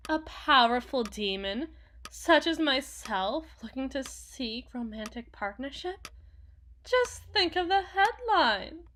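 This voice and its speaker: Woman playing a haughty demoness, fairly high-voiced, faint hiss. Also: fairly bright tone. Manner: theatrical, formal, imperious, deliberate phrasing. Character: powerful possessive demon woman, haughty, coldly seductive deal-maker. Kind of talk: ASMR roleplay, dramatic monologue.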